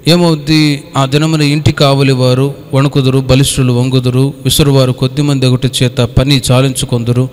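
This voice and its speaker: A male pastor delivers a Bible study sermon in a fairly high voice. He teaches didactically, repeating key phrases and questioning his listeners. His words ring out with the long reverb of a hall.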